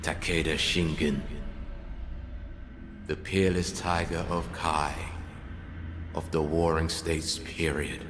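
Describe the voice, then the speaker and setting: A stern, loyal samurai retainer speaks in a very deep voice, slowly and with old-fashioned formality. The lines come from a video game cutscene with loud music swelling underneath. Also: male voice, level tone. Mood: grave.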